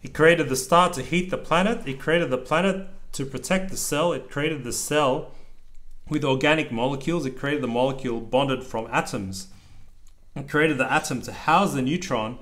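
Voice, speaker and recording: A man reading a children's storybook aloud as a parent, with casual asides and a deliberate, sing-song delivery. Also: medium pitch, fairly bright tone.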